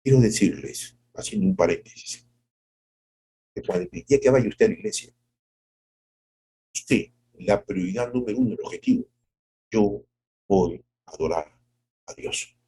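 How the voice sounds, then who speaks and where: Male pastor preaching, kinda low and dark voice, asks the crowd questions, goes soft then suddenly way up high. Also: wildly swooping pitch, breathy edge, rough recording. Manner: preaching with rhetorical questions and acted-out quotes; swings from soft, drawn-out phrases to sudden high exclamations. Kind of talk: devotional sermon.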